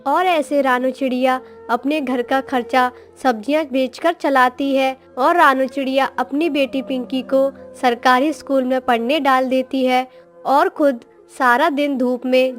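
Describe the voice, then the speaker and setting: A woman's voice: high-pitched, steady tone, measured pace, soft background music. A woman narrator reading a scripted moral story for a cartoon, in storytelling style.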